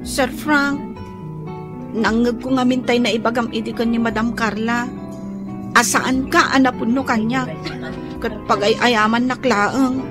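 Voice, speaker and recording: A woman's voice: a little high, fairly even intonation, measured pace, long pauses, over music, lightly acted. A woman playing an earnest young housemaid in love and full of doubt, with an Ilocano accent, in a radio drama.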